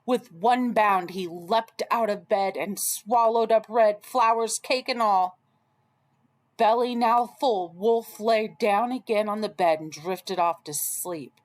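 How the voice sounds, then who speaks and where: A woman reading a children's story aloud as an expressive storyteller. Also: mid-range voice, takes it slowly.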